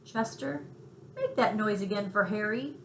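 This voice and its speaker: A woman voicing a brash, friendly, streetwise male mouse in a storybook, talkative and a little squeaky. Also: a little slow, close to an everyday voice. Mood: friendly, chatty. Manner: talkative, scornful of snobs, city slang. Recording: roomy echo, faint background noise.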